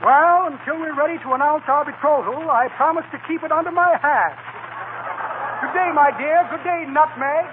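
A man's voice: extremely high pitch, normal speed. An actor playing a pompous, shifty con man in a 1940s radio comedy, with florid, blustering, wordy speech. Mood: smug. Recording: noisy, tinny 1940 radio broadcast.

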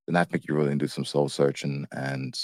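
A man's voice: extremely low pitch, level tone, quick pace, a little mellow, no room sound, studio quality. An American man co-hosting a couple's show in casual unscripted talk, firm but easygoing, often repeating how his sentences start. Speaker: co-host of a couple's relationship show.

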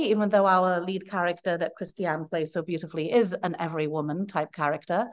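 A woman from Wales, a playwright giving long, earnest, rambling answers in a podcast interview. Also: medium pitch. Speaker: playwright guest.

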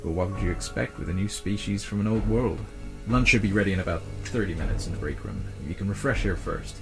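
A low-voiced, earnest man of science played by an actor explains his work politely and with care. It is audio drama dialogue, measured in delivery, with music clearly playing underneath. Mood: earnest, explanatory. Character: earnest male genetic scientist defending his dinosaur work.

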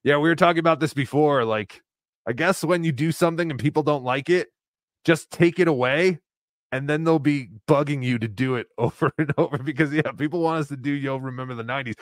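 A man's voice: on the high side, brisk, no echo, clean studio sound. A man co-hosting a livestream, reading donations and chatting casually, swearing and laughing as he talks. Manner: loose, swearing, laughs while talking. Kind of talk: casual profane chat.

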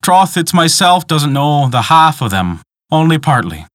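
A man's voice: on the high side, very animated, measured pace, a bit bright, dry recording, studio quality. A man voicing an old peasant woman, a superstitious, folksy guide telling tales in dialect for a storybook. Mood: folksy, coaxing.

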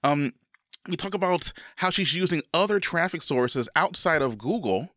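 A man's voice: fairly high pitch, expressive, normal speed, a bit bright, no room sound, clean studio sound. Congested, upbeat male podcast host. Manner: enthusiastic, rambling with restarts. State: congested from a cold. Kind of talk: casual podcast intro and interview.

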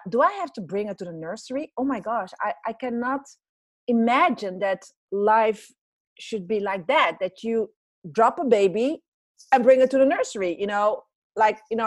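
A woman's voice: a little high, no room sound. A woman in her forties with a Dutch accent, chatting as a podcast guest with many fillers and restarts. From Netherlands. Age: 40s. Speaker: podcast guest, business coach. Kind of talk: casual interview chat.

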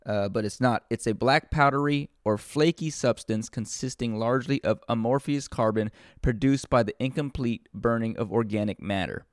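Man, lowish voice, storybook reading, deadpan comic asides, rambling, close dry sound. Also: dry recording. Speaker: storybook reader and comedian.

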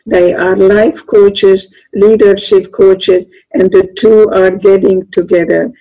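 A woman's voice: mid-range voice, little pitch movement, slow, warm tone, poor audio. An older woman from Hungary, a guest author speaking in an earnest, plainspoken way with slightly phrasing. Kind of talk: conversational interview.

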